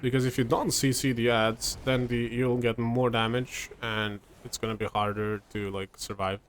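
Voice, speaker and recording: Young Serbian man, native Serbian speaker, easygoing game commentator, mid-pitched voice, loose and chatty delivery. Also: moderate pace, slight background noise. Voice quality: relaxed, chatty, many fillers and restarts. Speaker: gameplay commentator. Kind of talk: casual gameplay commentary.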